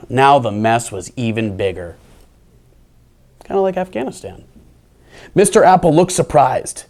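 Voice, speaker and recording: A man reading a children's picture book aloud, playful and expressive, dropping into dry, deadpan asides. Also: mid-range voice. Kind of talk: animated storybook reading with wry asides.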